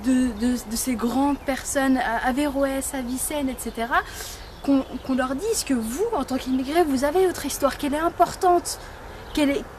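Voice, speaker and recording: Woman from France, high voice, hesitant, rephrasing, casual interview, noisy traffic-like background. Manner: hesitant, halting, often rephrasing. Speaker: interviewee in a street survey. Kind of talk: casual spoken opinion.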